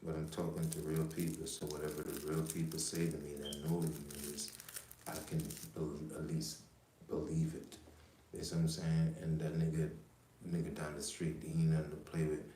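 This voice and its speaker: A man's voice: extremely low pitch, monotone, normal speed, warm tone, roomy sound, a little hiss or hum. A man from Jamaica who grew up in the US, hosting a rambling, profane livestream full of street talk and constant "you know what I'm saying.". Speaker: livestream host. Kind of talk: casual livestream monologue.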